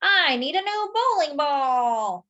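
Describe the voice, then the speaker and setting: Reasonable, mildly fussy storybook man, read by a woman, reassuring and earnest, pitched high with animated inflection. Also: takes it slowly, highs cut off, full character voice. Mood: hopeful. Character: kindly, reasonable, slightly fussy grown man.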